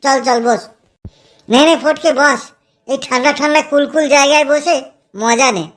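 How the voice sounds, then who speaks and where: A mischievous young village lad, as a woman voices him, speaks in a lowered, put-on voice with a crude, teasing edge. He drops to a hushed, eerie tone for spooky tales. It sounds like a cartoon comedy. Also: fairly even intonation, normal speed, natural voice. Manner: crude, teasing, eerie when telling ghost talk. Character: cheeky young village man, mischievous drinker.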